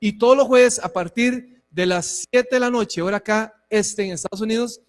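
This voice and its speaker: A man in his forties preaching to a church, conversational and warm, with frequent asides to the congregation. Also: sits high, moderate pace. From Costa Rica. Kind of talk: sermon and church address. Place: church hall. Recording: long reverb of a hall.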